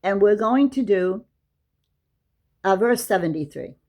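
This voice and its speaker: Woman teaching quran verses, talks slow and easy, explains as she goes. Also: mid-range voice, expressive, darkish tone. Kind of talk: casual religious lesson.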